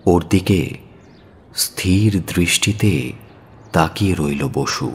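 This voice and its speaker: A man narrating a dramatic audio story in a solemn, measured and expressive manner. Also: deep voice, husky. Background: music.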